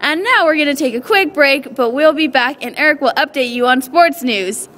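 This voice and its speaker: A woman's voice: sits high, lively intonation, normal speed, a bit bright. A woman reporter reading a scripted radio segment for a school project.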